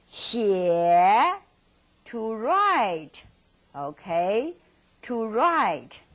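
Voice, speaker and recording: A middle-aged woman with a Mandarin Chinese accent talks through a language lesson at a slow, careful pace. Her slightly high voice swings widely in pitch. She repeats each word and then answers her own questions. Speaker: Mandarin teacher. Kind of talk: slow teaching instruction with drills.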